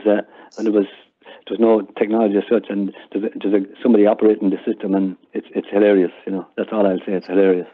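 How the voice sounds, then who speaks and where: A man's voice: mid-range voice, phone-quality sound. An older man with an Irish accent chatting casually as an interview guest, explaining things hesitantly and repeating words as he goes. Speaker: interview guest, member of amateur audio theatre group.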